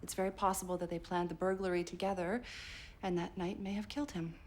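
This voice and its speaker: Cool, low-voiced woman private detective played by an actress. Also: little pitch movement, quick pace, darkish tone, a little hiss or hum, close to an everyday voice. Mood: cool, guarded. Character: cool, wry female private detective in 1920s. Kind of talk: television period drama acting.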